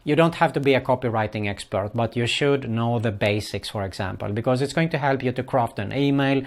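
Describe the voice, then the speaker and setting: A man's voice: medium pitch, brisk. A man from Sweden, speaking English as a later language, giving casual advice to viewers with many hesitant fillers and non-native phrasing. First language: Swedish. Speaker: online marketing vlogger. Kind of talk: casual advice talk to camera.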